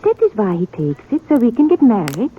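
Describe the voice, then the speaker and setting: Stubborn bride, highish husky voice, broken english, old muffled radio western. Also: female voice, sing-song, fairly quick, a little hiss or hum. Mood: pleading. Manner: broken English, emphatic and plain-spoken. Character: earnest, stubborn, trusting immigrant mail-order bride. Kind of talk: 1950s Western radio drama acting. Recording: thin, muffled vintage radio recording.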